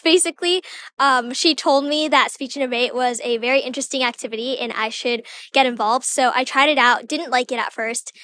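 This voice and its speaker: High-voiced teenage girl. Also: a bit bright. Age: teen. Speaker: high school sophomore being interviewed. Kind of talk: casual interview answers.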